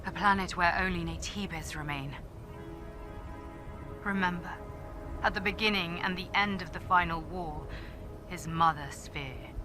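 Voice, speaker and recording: An actress playing a weary soldier recording a grim last testament in a video game, solemn and measured. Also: female voice, fairly low pitch, natural voice. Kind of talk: dramatic narrated log in a video game. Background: prominent music.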